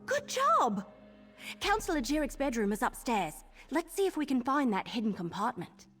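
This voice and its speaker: A woman's voice: high-pitched, normal speed, long reverb tail, soft background music. A woman voicing an eager, chatty, perky female Wood Elf investigator in a video game. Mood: excited, bubbly. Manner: perky, expressive.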